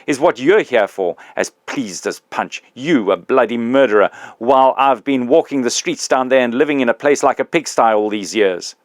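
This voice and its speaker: A blustering big male ghost, put on by a male narrator raises his voice in coarse, self-righteous complaint. His pitch climbs above an everyday male voice and he sounds loud and indignant. The sound is dry and close, with no room around it. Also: sing-song, measured pace, no room sound. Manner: loud, indignant, coarse working-class speech. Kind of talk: audiobook reading.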